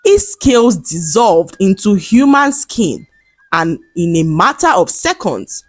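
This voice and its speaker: A woman tells a folktale at an unhurried pace, her breathy voice rising and falling with lively pitch. The sound is close and dry. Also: dry recording, soft background music. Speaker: storyteller. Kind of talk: scripted folktale narration.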